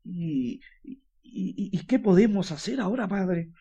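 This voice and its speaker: A distraught father from a mining town in a radio play, acted by a man, with a Chilean accent. His voice sits fairly high for a man. He is fearful and earnest, stumbling over his words. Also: expressive, a little slow, mellow, breathy edge, heavily acted. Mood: shaken. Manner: agitated, stammering, earnest. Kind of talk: radio drama acting.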